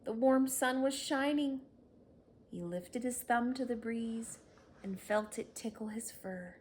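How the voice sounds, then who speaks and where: Unhurried higher-voiced woman reading a storybook. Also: darkish tone, slight background noise. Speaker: storybook reader. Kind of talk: children's read-aloud narration.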